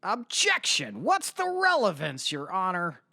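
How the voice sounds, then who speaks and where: A woman's voice: fairly low pitch, wildly swooping pitch, measured pace, vocal fry, bright, forceful tone, no room sound, clean studio sound, heavily acted. A woman playing an assertive defense lawyer, formal and emphatic, in an audio drama courtroom. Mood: indignant, objecting.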